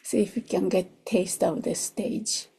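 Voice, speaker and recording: A woman who is not a native English speaker leads a guided meditation in a soft, gentle voice, in short unhurried phrases that often drop toward a whisper. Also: mid-range voice, steady tone, creaky, husky. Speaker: meditation teacher. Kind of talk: guided meditation, slow and soothing.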